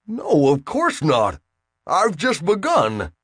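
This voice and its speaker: A man's voice: a little high, huge pitch swings, takes it slowly, dry recording, studio quality, pitched above the performer's own voice, full character voice. A man voicing a bewildered young farm boy stuck in a cell, pleading and exasperated.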